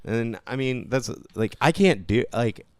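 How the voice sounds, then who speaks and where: A man's voice: medium pitch, expressive, unhurried, a little mellow, dry recording, studio quality. A man co-hosting a podcast in casual gaming banter, swearing freely and speaking in stop-start phrases with trailing asides. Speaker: podcast co-host.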